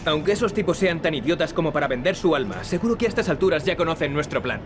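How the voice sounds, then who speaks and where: An actor with a Castilian Spanish accent playing a cocky, wisecracking young adventurer in a video game. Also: male voice, on the high side, lively intonation, quick pace, lightly acted. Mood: confident. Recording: game music behind the voice. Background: game music.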